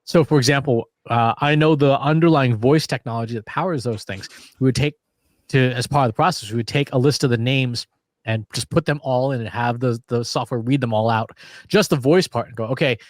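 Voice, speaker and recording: A man's voice: medium pitch, fairly quick, no room sound. A man co-hosting a podcast in casual conversation, explaining ideas with analogies and frequent restarts. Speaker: podcast co-host.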